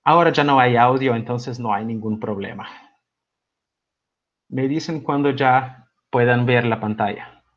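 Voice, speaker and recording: A middle-aged man, not a native speaker, teaches an online seminar in Spanish with a Mexico City accent and a touch of Buenos Aires. His voice sits at an ordinary middle pitch, and he talks in a relaxed, conversational way, pausing on fillers and often tagging a question onto the end of a thought. The sound comes over a video call and is a little dull and lo-fi. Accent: Mexico City Spanish with some Buenos Aires Argentine. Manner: relaxed and conversational, many hesitations and tag questions. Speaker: seminar instructor. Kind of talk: live online lecture.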